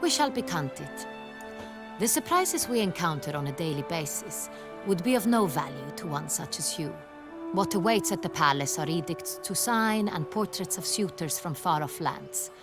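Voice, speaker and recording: An actress playing a haughty, imperious young duchess with a volatile temper and regal, formal speech in a video game. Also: female voice, lowish voice, lively intonation, moderate pace, close to an everyday voice. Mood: regal, measured. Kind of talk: video game dramatic acting. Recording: music under the voice.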